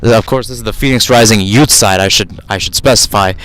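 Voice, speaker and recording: A man's voice: fairly low pitch, a little fast, a bit bright, rough recording. A man giving live radio play-by-play of a soccer match from outdoors at the field, calling it in short running phrases with asides. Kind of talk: live radio sports commentary.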